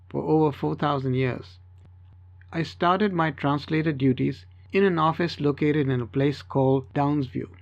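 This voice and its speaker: Male story narrator, first person, fairly high voice, slightly dull recording. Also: gravelly. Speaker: audiobook narrator.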